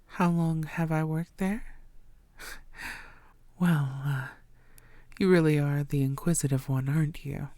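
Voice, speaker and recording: A woman playing a coy, secretive vampire who poses as a tired blood bank worker, speaking softly and playfully in an intimate audio roleplay. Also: sits low, steady tone, a little slow, pauses often, no echo. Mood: evasive, coy. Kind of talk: intimate scripted audio roleplay addressed to the listener.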